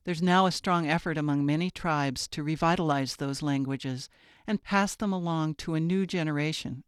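A woman hosting a radio feature, reading scripted narration in a measured, clear way. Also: deep voice, no room sound, clean studio sound.

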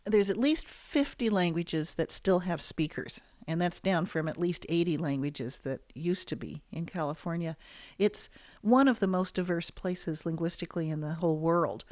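A woman's voice: lowish voice, moderate pace, darkish tone, no room sound, studio quality. A woman linguistics professor explaining things in an articulate, matter-of-fact interview.

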